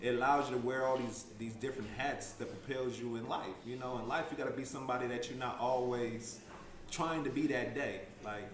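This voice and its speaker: Man, podcast guest, reflective, street-wise, mid pitch, roomy echo. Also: brisk. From San Francisco. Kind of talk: storytelling in casual chat. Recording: echoey room.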